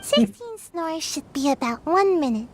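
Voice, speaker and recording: A woman playing an excitable, naive little girl in an English-language video game. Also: sits high, measured pace, a little hiss or hum. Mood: excited. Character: excitable childlike little girl, naive, eager and sleepy-headed. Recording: game music clearly playing behind the voice. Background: video game music.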